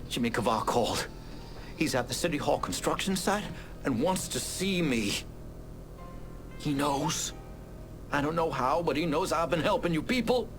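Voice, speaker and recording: An actor playing an anxious, guilt-ridden city clerk in a video game, speaking nervously and haltingly. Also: male voice, a little high, lively intonation, over music, lightly acted. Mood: panicked. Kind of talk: video game cutscene acting.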